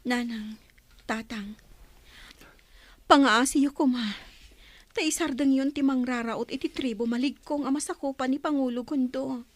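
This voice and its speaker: Woman playing a high-voiced, pleading chieftain's child. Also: a little slow, long pauses, no room sound. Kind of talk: Ilocano radio drama acting.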